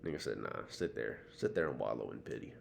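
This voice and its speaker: A man's voice: expressive, quick pace, vocal fry. An American man co-hosting a podcast, giving blunt opinions on TV in casual, profane slang. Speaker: podcast co-host. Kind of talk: casual TV discussion chat. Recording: faint background noise and rumble.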